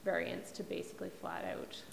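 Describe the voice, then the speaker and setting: Brief: hesitant woman commissioner, heavy vocal fry, lower pitch, echoing hall. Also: big pitch swings, fairly quick. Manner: hesitant, thinking aloud, very creaky. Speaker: planning commission member. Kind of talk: formal meeting commentary. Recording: reverberant meeting room, faint hiss.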